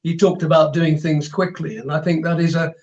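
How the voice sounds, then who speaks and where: A man's voice: a little high, steady tone, fairly quick, a little mellow, highs cut off. A man speaking as an interview guest in casual, thoughtful talk, hesitating and repeating words.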